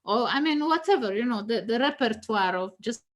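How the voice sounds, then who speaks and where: A woman's voice: on the high side, expressive, a little fast. A woman whose first language is Danish, a guest tarot reader talking casually and teaching, laughing often and restarting her phrases with many fillers.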